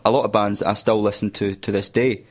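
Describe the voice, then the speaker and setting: A man's voice: lowish voice, fast talker. A man from Fife with a Scottish accent, a guitarist guest talking casually about his band in a radio studio. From Fife, Scotland. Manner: relaxed, says aye, wee.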